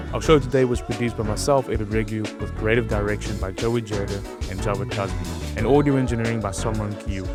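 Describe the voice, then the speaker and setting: A man reading scripted podcast outro credits as the show's producer. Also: fairly low pitch. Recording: voice-over on loud music bed.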